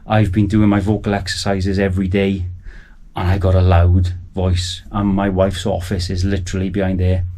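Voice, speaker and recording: A man in his forties talks to camera in an excited, rambling way. His voice sits fairly low. He is recording in a small sound-proofed home studio. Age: 40s. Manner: enthusiastic, rambling. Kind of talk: casual chat to camera.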